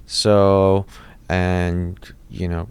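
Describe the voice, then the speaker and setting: A man's voice: low voice, flat delivery, very slow, clean tone. A dentist in his thirties, born in Iraq and raised in Los Angeles, telling his story in a casual interview, with many restarts and fillers. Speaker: dentist, podcast guest.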